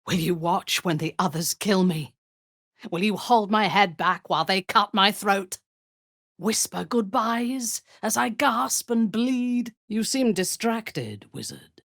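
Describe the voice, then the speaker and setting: A woman playing a ruthless, imperious warrior commander of a dark cult in a fantasy video game, cold, commanding and disdainful. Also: mid-range voice, animated, slow, no echo, clean studio sound, exaggerated voice. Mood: bitter, intense, then cold, hushed.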